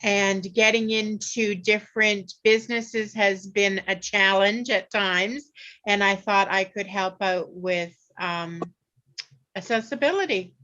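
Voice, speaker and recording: A woman's voice: medium pitch, slow pace, bright, forceful tone, muffled recording. A shy woman committee member introducing herself briefly on a video call. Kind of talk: casual meeting chat.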